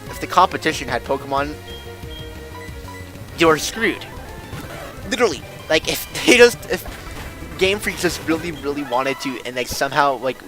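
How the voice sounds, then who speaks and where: Lisping young male streamer, higher-pitched voice. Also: pushed and bright. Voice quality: lisp. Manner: rambling, slangy, self-mocking. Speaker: game streamer. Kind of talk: casual livestream chat while gaming. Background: video game music.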